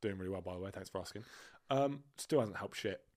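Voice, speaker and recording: A man's voice: low voice, fast. A young man, co-hosting a podcast in crude, joking banter, his voice nasal and blocked up. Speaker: podcast co-host. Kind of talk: casual chat.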